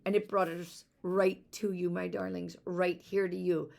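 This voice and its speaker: A woman's voice: lowish voice, flat delivery, unhurried, creaky, a little mellow. A woman reading a children's storybook, voicing a devoted father who speaks with fervent, heartfelt emotion. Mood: moved, heartfelt. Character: emotional, devoted father of two young girls. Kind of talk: children's storybook read aloud.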